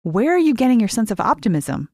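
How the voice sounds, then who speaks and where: A woman's voice: fairly high pitch, very animated, quick pace, darkish tone, no room sound, clean studio sound, pitched above the performer's own voice. A woman voicing an earnest, upbeat young student activist in story dialogue, with an encouraging lilt. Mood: earnest, hopeful. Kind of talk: audiobook character dialogue.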